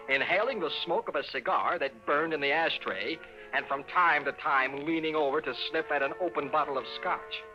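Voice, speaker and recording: An actor playing a fussy, exasperated trailer owner with an American accent and proper wording, in 1950s radio drama style. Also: male voice, mid-range voice, close to an everyday voice. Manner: proper wording, indignant, put-upon. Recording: muffled old radio recording with music.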